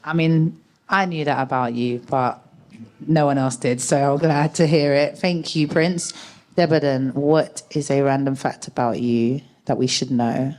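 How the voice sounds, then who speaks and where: A woman's voice: a bass voice, steady tone, measured pace, a little mellow. A woman hosting a live panel show, upbeat and enthusiastic as she introduces the panelists. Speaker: host. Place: live event with audience.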